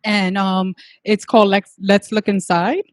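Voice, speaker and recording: Woman podcast host, friendly-agreeable, mid pitch, dry sound. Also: little pitch movement, no room sound. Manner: agreeable, chatty, quick to back the guest. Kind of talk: casual interview chat.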